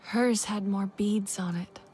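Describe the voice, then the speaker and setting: A woman's voice: mid-range voice, fairly even intonation, slow pace, a little mellow, husky, faint music behind. An actress playing an earnest, searching young alien woman in a video game, with a questioning manner. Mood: wistful. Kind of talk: dramatic video game acting.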